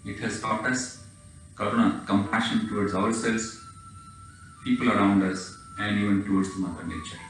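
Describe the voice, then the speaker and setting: A low-voiced man with an Indian accent leads a yoga class, speaking in slow, reverent, short phrases. He sits away from the mic in a very echoey space, with soft music underneath. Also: huge pitch swings, recorded from a distance. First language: Hindi. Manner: measured, reverent, slightly halting phrasing. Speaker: yoga teacher. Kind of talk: guided yoga session over video.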